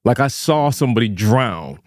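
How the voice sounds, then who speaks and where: A man's voice: mid-range voice, expressive, moderate pace, no room sound, clean studio sound. A man from Barbados telling stories casually and reflectively, earnest and emphatic, with slangy phrasing. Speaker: podcast co-host.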